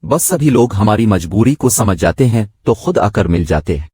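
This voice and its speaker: A man's voice: lowish voice, big pitch swings, moderate pace, mellow, slightly rough, dry recording, clean studio sound. A man voicing a friendly, lonely wealthy mother who lives in seclusion, speaking politely and explaining. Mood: polite, confiding.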